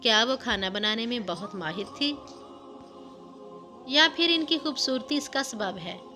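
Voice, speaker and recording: A woman narrating a scripted moral story in a measured, instructive way, as a storyteller. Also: on the high side, animated, bright, forceful tone. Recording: music under the voice. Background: background music.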